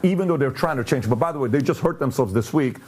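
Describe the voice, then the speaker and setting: A man born and raised in Iran hosts a commentary show, posing pointed questions and walking through charts in an emphatic, animated way. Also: medium pitch, fast, breathy edge. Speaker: show host.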